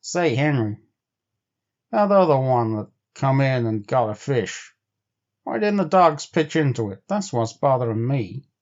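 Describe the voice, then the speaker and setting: A man's voice: medium pitch, sing-song, measured pace, highs cut off. A man voicing an uneasy, grumbling frontier sled driver with folksy speech, in a storybook reading. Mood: puzzled, troubled.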